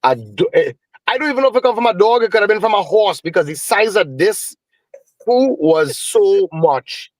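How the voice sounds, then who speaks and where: A Trinidadian man tells stories with easy Caribbean turns of phrase. His voice sits a little high and sounds dry and close, with no room around it. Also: normal speed, dry recording, poor audio. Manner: animated storyteller with Caribbean phrasing. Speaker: guest musician. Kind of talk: casual storytelling interview.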